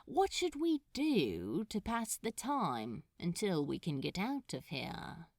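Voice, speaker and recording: Woman doing a cool flirty guy in a story, low lazy drawl, lots of fry, suggestive. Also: huge pitch swings, slow pace, a little mellow, no room sound, studio quality, full character voice. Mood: flirtatious. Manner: languid, suggestive. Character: cool, flirtatious, teasing man, Crowley.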